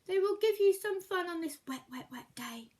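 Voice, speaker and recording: A woman's voice: very high-pitched, expressive, moderate pace, darkish tone, pitched above the performer's own voice. A woman voicing a showy, playful cat in a hat who coaxes and boasts. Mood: boastful, playful.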